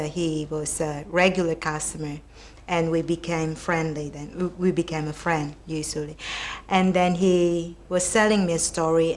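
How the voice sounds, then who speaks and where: A young woman who works as a casino dealer, telling a lively, amused anecdote. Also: fairly low pitch. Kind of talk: casual anecdote. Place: studio, plain backdrop.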